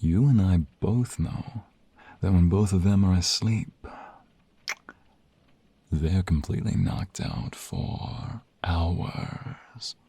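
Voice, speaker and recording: A man acts out an affectionate, teasing mobster husband in an ASMR romance roleplay. His voice is very low and dark, with a breathy, husky grain. He takes his time over phrases, murmuring them intimately and playfully. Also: heavily acted. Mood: tender, seductive. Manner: intimate, drawn-out, playful delivery with sighs and murmurs.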